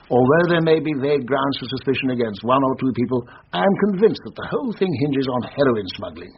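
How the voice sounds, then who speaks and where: Male actor as upper-class colonel, decisive, impatient, very expressive pitch, radio mystery, slightly muffled. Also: fairly quick, natural voice. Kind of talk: radio drama acting. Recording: muffled radio drama with faint noise.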